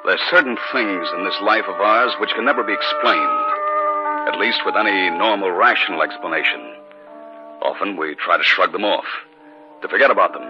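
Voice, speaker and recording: A troubled husband from a 1950s radio mystery, played by a male actor, speaking in a low voice. The old broadcast sound is dull, with music behind. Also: natural voice. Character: troubled husband Bert, recounting a haunting, easygoing at home. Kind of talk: 1950s radio drama acting and narration.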